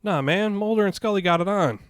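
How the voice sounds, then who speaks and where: A man around forty in relaxed podcast banter, playing the calmer straight man and teasing his friend. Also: sits high, fairly quick, clear, resonant tone, no room sound, clean studio sound. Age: 40s. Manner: easygoing, plays straight man, mild teasing. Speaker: podcast co-host. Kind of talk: casual banter.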